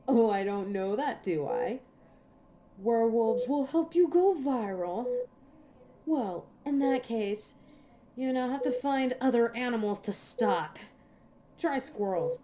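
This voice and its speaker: A woman's voice: medium pitch, dark tone, slight background noise, lightly acted. A woman voicing a cocky, ancient werewolf in an audio roleplay, teasing and menacing with a sardonic, impatient manner. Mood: mocking, defiant. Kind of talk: scripted audio roleplay monologue to a listener.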